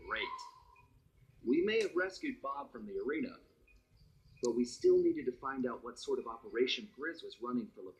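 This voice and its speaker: A man's voice: mid-range voice, low-quality recording. A man playing an easygoing, roguish raccoon thief, narrating a cartoon game story in a wry, conversational way. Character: roguish anthropomorphic raccoon master thief, adult male, easygoing. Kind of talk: scripted first-person story narration for a cartoon heist game.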